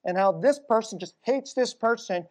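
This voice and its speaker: A middle-aged Korean American woman telling stories in a sermon, casual and conversational, dropping to a hushed low voice at tense moments. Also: expressive, normal speed. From United States.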